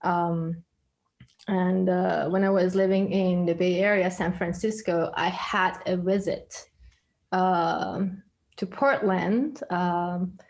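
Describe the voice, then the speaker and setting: A woman in her thirties who grew up in Iran, telling her story in a relaxed interview over a video call, hesitant and full of fillers. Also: on the low side, takes it slowly. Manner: hesitant, many fillers, laughs often. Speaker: guest, studio co-founder.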